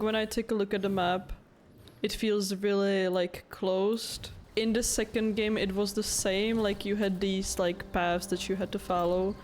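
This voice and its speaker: A woman's voice: mid-range voice, darkish tone, a little hiss or hum. A woman giving casual gameplay commentary, informal and thinking aloud as she goes. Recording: game music behind the voice.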